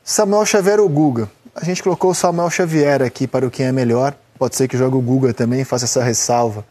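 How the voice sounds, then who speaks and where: A man with a Brazilian accent hosting a televised football debate, brisk, calling on each panelist by name. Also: mid-range voice, warm tone. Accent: Brazilian Portuguese. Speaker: TV sports show host. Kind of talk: sports panel discussion.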